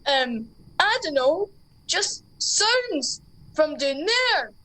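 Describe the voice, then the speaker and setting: Woman as dim jumpy soldier, high pitch, unhurried, radio comedy. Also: big pitch swings, pushed and bright, dry recording, exaggerated voice, low-quality recording. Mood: jumpy, sleepy. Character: jumpy, dim Scottish soldier sleeping at camp.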